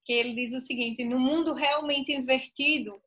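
A woman's voice: high-pitched, steady tone, moderate pace, fairly bright tone. A woman professor joining an online lecture over a video call, backing up the speaker and restarting her sentences as she thinks. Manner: backchannels with "uhum", hesitant restarts. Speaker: professor co-hosting.

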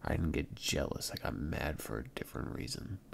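A man playing a hurt, irritated young boyfriend in an ASMR roleplay, speaking haltingly in a gravelly voice and repeating words. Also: a little hiss or hum, exaggerated voice. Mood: defensive, heated. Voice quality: very deep, gravelly, creaky. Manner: halting, drawn-out, repeats words, swinging between mutters and outbursts.

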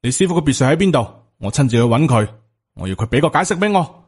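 A man's voice: medium pitch, very animated, quick pace, a little mellow, slightly rough. A man with a Hong Kong Cantonese accent voicing a proud, indignant martial uncle in an audiobook, scolding with cold sarcasm.

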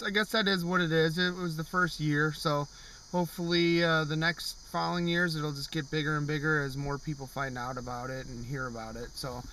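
A man's voice: on the high side, pushed and bright, slight background noise, poor audio. A man in his thirties giving a casual vlog review from the driver's seat of a parked car, rambling with lots of fillers and restarts. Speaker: car show vlogger. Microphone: camera on the dashboard.

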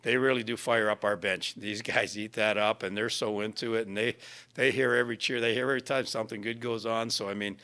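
A man's voice: on the low side, steady tone, fairly quick, bright, forceful tone, smooth. An older man, a plain-spoken, folksy hockey coach giving post-game comments. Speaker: ice hockey head coach. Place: indoor media backdrop at a rink. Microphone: microphone on a stand in front.

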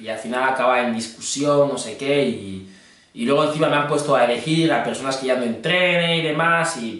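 A man's voice: medium pitch, a bit bright. A young adult man chatting casually on prank phone calls as a fitness trainer. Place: indoor green screen studio. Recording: very echoey room, distant mic.

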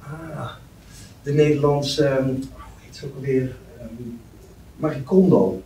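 A man's voice: mid-range voice, fairly even intonation, a little slow, dark tone, close to an everyday voice. A man playing a reserved, sensible young banker in a matter-of-fact manner at a script table read. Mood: curious. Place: meeting room. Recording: roomy, distant mic, faint noise.

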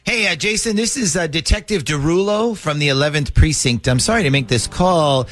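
A man's voice: on the high side, brisk, a bit bright, soft background music, close to an everyday voice. A man playing a matter-of-fact police detective in a casual, official-sounding way on a prank phone call.

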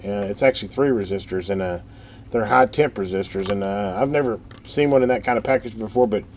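A man's voice: on the low side, fairly quick, darkish tone. A middle-aged man giving casual hands-on repair commentary in a folksy, rambling way, as if thinking aloud. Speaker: host of a radio repair channel. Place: workshop in a metal building. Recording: background noise in a workshop.

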